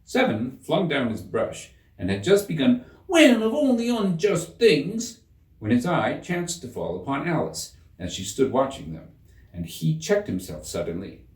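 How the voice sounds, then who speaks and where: A man giving a measured, theatrical reading of a children's story in an echoing room. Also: mid-range voice, sing-song, a little mellow, distant mic. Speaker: audiobook narrator. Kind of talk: expressive scripted storybook narration. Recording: roomy echo, slightly off the mic.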